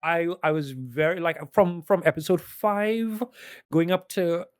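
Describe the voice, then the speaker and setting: A man with a moderately high voice talks as a podcast host in casual, opinionated chat. He is emphatic and exasperated, working himself into a rant. The sound is close and dry. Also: a little slow, smooth, no room sound. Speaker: podcast host giving a ranked list.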